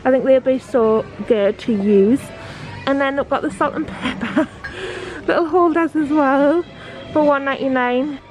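A woman's voice: high voice, darkish tone, low-quality recording. A woman in her thirties from Newcastle with a Geordie accent, vlogging a shopping trip and chatting eagerly about prices and finds. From Newcastle upon Tyne, England. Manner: chatty, enthusiastic, reads out prices. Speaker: family vlogger. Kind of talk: casual shop-with-me vlog. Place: large indoor discount store. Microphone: handheld camera. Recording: shop music and crowd noise behind. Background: store music and shoppers.

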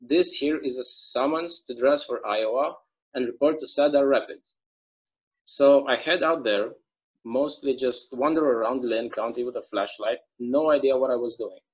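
A folksy, easygoing small-town bartender, read by a man over a video call. He rambles through stories in a middle-pitched voice. The sound is dull and lo-fi. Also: normal speed, slightly rough, lightly acted. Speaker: actor in a table read.

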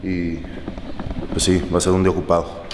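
A man's voice: sits low, completely flat delivery, fairly quick, loud music behind the voice. Young Mexican man vlogging on the street. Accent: Mexican Spanish. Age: young adult. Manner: chatty, talking while walking with a handheld camera. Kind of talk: casual vlog to camera. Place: city streets and shops outdoors. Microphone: handheld camera at arm's length. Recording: outdoor street noise.

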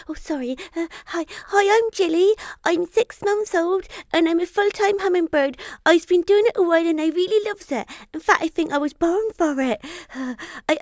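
An eager little cartoonish hummingbird girl, looking for love. The voice is extremely high and nervous, recorded close and dry. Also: dry recording. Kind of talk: comic character monologue.

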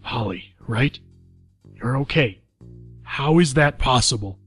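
A man playing a frightened middle-aged sheriff and father in a radio play, pleading in a hoarse, strained voice. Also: medium pitch, animated, slow pace, fairly bright tone, faint music behind, heavily acted. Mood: wary, anxious. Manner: desperate, pleading.

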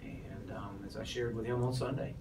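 A man's voice: on the low side, fairly even intonation, normal speed, vocal fry. A man in his forties, a head football coach, answering questions at a postgame press conference with many restarts and fillers. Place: press room, in front of a sponsor backdrop. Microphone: cluster of press mics on a table, heard from off. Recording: distant voice, noisy room.

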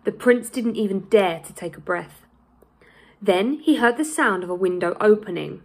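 Creaky-voiced young woman reading a storybook. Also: mid-range voice, normal speed, darkish tone. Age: young adult. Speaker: primary school teacher reading aloud. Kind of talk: children's storybook read-aloud. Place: small indoor room, plain wall.

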